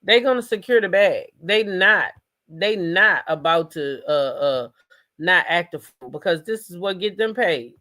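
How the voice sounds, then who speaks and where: A woman's voice: on the low side, animated, unhurried, sharp tone. An American woman doing casual live gossip commentary as host, sassy and emphatic, often repeating phrases for emphasis.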